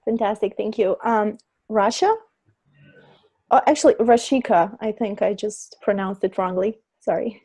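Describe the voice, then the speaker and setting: A woman's voice: mid-range voice, moderate pace, warm tone, slightly rough. A woman hosting a webinar on a video call, politely calling on each guest. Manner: polite, apologetic about names. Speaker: webinar host.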